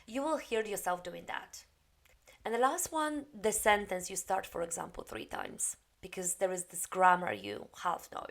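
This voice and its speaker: A woman's voice: medium pitch, expressive, normal speed, creaky. A woman, an English coach giving a direct, encouraging spoken lesson. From Poland. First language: Polish. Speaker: English coach and TEFL teacher.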